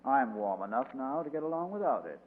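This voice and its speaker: An actor playing a genteel, wisecracking amateur sleuth in a 1940s radio drama, with a dry, fussy wit. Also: male voice, mid-range voice, very animated, brisk, natural voice. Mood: wry. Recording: phone-like old radio broadcast, long hall echo, faint hiss.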